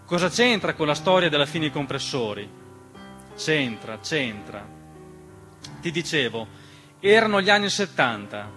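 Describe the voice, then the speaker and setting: Guy doing radio theatre storytelling, voice a bit high, measured and dramatic, piano playing behind. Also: a bit bright. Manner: measured, dramatic delivery with deliberate pauses and repeated phrases. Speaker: stage storyteller and narrator. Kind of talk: scripted theatrical narration, radio theatre monologue. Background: piano music.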